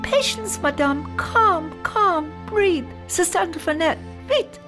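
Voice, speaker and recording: A woman's voice: very high-pitched, expressive, slow pace, a bit bright, soft background music, pitched above the performer's own voice, exaggerated voice. A woman voicing an anxious young nun who pleads and mixes in French phrases. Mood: urgent hush.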